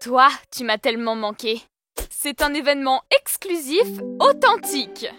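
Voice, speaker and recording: Casting: sweet young girl pup in kids' animation, high, swooping voice, music behind. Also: sing-song, moderate pace, fairly bright tone. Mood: delighted. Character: sweet, helpful young niece dog. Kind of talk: children's cartoon dub.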